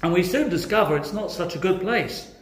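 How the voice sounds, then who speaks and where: A man's voice: sits high, expressive, brisk. A man with an English accent preaching a sermon earnestly, putting questions directly to his listeners. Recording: echoey, reverberant room sound.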